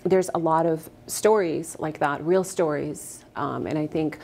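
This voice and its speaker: A woman in her thirties from Afghanistan, a guest actress in a morning TV studio interview, speaking earnestly and fluently with soft fillers on a lapel mic. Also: low-pitched, unhurried, creaky. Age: 30s. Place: TV studio set, indoors.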